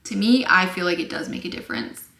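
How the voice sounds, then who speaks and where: A young woman teaching sewing to camera in a chatty, casual way, a little scratchy-voiced and quick to apologize. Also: fairly low pitch, fairly bright tone. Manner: chatty, apologetic, lots of fillers. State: slightly scratchy voice. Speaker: sewing instructor. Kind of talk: casual tutorial talk to camera. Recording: echoey room.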